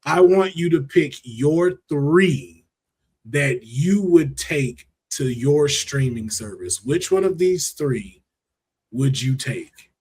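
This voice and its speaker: An American man hosting a casual podcast, talking in an animated, slangy and profane way. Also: mid-range voice, slow, slightly rough. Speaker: podcast host. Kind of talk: casual podcast chat about movies and games.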